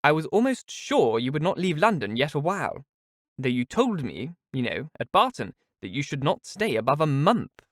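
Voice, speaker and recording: A man's voice: a little high, very animated, moderate pace, a bit bright, no echo, studio quality, pitched above the performer's own voice. A falsely sweet young woman, as a male reader puts her on, gushing and insinuating in a storybook reading. Her voice sits lifted above the reader's own.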